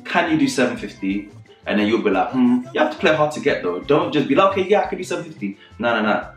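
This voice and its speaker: A man in his thirties hosting a casual advice vlog to camera, animated and repeating phrases for emphasis. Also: medium pitch, fast, reverberant, far from the mic, soft background music. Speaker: property channel host.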